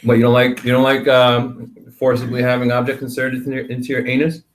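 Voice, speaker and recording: A man's voice: mid-range voice, monotone, fast. A man chatting casually as a podcast guest, blunt and wisecracking, recorded with a roomy echo. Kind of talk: casual chat. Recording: roomy, echoey sound.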